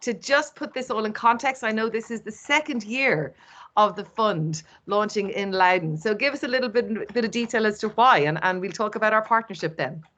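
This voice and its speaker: A woman from East Cork with an Irish accent, hosting an online launch event in a lively, playful, encouraging way. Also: medium pitch, moderate pace. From Ireland. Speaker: host. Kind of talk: upbeat webinar hosting. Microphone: video call.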